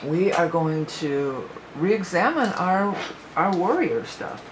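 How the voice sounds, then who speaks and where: A woman's voice: on the low side, lively intonation, slow pace, slight background noise, low-quality recording. Rambling woman losing her voice. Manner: rambling, thinks aloud with many fillers. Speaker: psychic astrology reader. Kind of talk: casual spoken astrology forecast.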